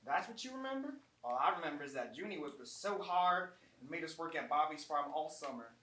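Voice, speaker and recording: A woman's voice: low-pitched, lively intonation, brisk, a bit bright. A woman playing an earnest, devoted Southern country man in love, folksy and sincere, in a live amateur stage comedy. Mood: nostalgic, fond. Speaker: actor in a staged reading of a short play. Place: small college theatre stage. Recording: roomy distant theatre mic, background noise.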